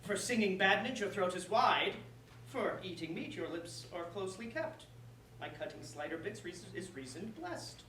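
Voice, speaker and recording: An oily, taunting military lord acted by a woman speaks theatrical verse with a very animated, swooping delivery. The recording is distant and echoey, in a roomy space. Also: mid-range voice, moderate pace, natural voice. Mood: mockingly sweet. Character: menacing male soldier lord, mocking, oily and courtly. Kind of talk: staged reading of verse comedy. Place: roomy indoor space, far from the mic. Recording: distant echoey room with faint noise.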